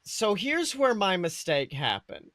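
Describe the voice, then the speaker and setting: A man co-hosting a casual movie review chat, talking in an excitable, slangy way with casual swearing. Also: sits high, a little slow, no room sound, clean studio sound. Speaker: podcast co-host.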